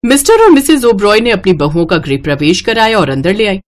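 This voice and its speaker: A woman's voice: medium pitch, very animated, fairly quick. A woman narrating a scripted moral story as a storyteller.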